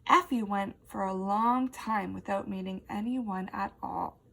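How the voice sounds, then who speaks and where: A woman's voice: mid-range voice, unhurried, vocal fry, fairly bright tone. A woman teacher reading a children's story aloud expressively and asking young listeners questions.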